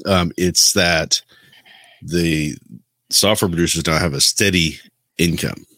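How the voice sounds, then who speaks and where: A man's voice: deep voice, slow pace, sharp tone, no room sound. A man in a casual tech discussion as a podcast co-host, explaining his opinions.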